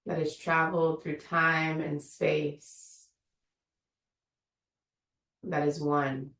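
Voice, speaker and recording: A woman teacher leading a guided meditation in a slow, soothing, invitational way. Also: deep voice, flat delivery, a bit bright, echoey room, far from the mic. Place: room of an online yoga studio.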